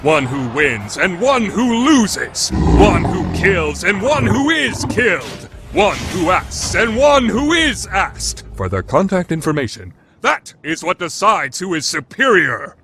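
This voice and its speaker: A man's voice: high-pitched, very animated, slow, hardly any pauses, heavily acted. A man playing a grandly solemn narrator, declaiming scripted narration for an anime radio play. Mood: dramatic. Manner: dramatic, declamatory. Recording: background music under the voice.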